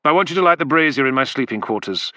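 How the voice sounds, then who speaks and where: A man's voice: mid-range voice, lively intonation, fast, sharp tone, dry recording, highs cut off, pitched above the performer's own voice. A man voicing a young, impatient male officer in command in an audiobook, speaking curtly. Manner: curt, commanding.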